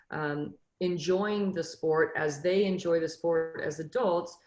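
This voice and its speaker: Earnest low-voiced woman on a video call. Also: roomy sound, poor audio. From Northern California. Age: 50s. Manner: earnest, motivational, frequent fillers and restarts. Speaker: football coach, webinar panelist. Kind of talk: casual panel discussion.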